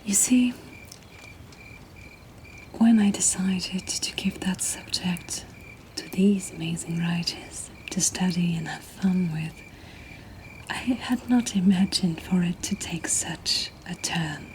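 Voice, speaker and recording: A woman who is not a native English speaker reads poetry as a host in a soft, breathy, gentle whisper, in an ASMR style. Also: fairly low pitch, rough recording. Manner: slow, gentle, deliberate delivery. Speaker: host reading submitted poetry. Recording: nighttime cricket ambience behind, faint noise. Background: crickets and insect ambience.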